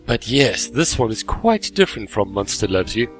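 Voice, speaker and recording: A man's voice: lowish voice, very animated, normal speed. Man doing chatty let's play over music. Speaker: gaming video host. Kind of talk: casual let's play commentary, reading game dialogue aloud. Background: game music.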